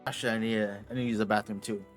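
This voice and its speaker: A man casually commenting while streaming a video game, with relaxed, offhand remarks. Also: on the low side, racing, soft background music.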